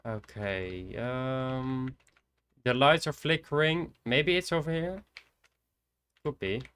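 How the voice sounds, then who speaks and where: An eighteen-year-old man with a Dutch accent, quietly thinking aloud as he streams a horror game from his computer desk. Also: medium pitch, slow, clean tone. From Netherlands. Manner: soft-spoken, hesitant, thinking aloud. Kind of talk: casual gameplay commentary. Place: indoors at a computer.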